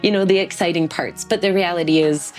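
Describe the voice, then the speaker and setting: A woman's voice: on the low side, steady tone, brisk, a little mellow, faint music behind, low-quality recording. Reflective woman giving practical advice. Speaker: guest teacher. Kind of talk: interview conversation.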